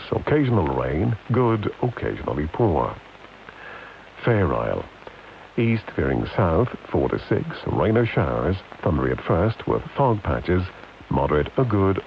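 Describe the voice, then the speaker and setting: A British man formally reading a scripted weather bulletin as a radio announcer, in measured, deliberate, list-like phrases, over long-wave radio. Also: extremely low pitch, creaky. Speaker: radio continuity announcer reading shipping forecast. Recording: hissy, muffled long-wave radio broadcast.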